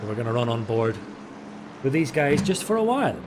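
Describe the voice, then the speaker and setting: A man giving chatty, excitable live commentary on an online race, stumbling over drivers' names. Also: mid-range voice, brisk, noisy background. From Ireland. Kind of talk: live sim racing commentary.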